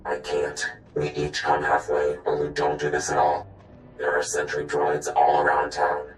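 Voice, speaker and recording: Game casting: a paranoid informant, extremely low flat voice, demanding and guarded, echoing. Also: male voice, normal speed, soft background music. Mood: suspicious, guarded. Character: wary, paranoid male informant hiding from killers. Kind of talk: video game dialogue.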